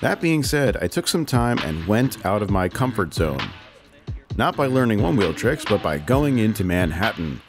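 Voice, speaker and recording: A man's voice: fairly low pitch, sing-song, normal speed. A man narrating a channel video in a dry, self-deprecating scripted voice-over. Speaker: channel host. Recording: music bed behind voice.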